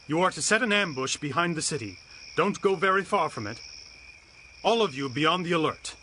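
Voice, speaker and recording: A man's voice: on the high side, expressive, brisk, pushed and bright, slight background noise. A man playing an earnest leader of ancient Israel in a dramatized scripture recording, with an impassioned, declamatory manner. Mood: commanding. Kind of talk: dramatized scripture audio acting.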